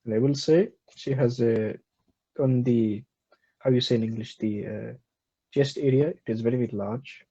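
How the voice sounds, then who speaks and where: Man doing a bragging phony doctor, pompous and deadpan, husky mellow voice, lo-fi sound. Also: medium pitch, normal speed. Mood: boastful. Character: boastful self-styled male herbal doctor, absurd. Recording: dull lo-fi recording.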